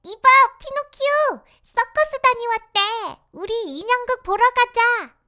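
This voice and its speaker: Brief: eager little boy luring a friend off, very high, played by a woman. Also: animated, a little slow, pushed and bright, heavily acted. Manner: eager, coaxing. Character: tempting schoolboy friend.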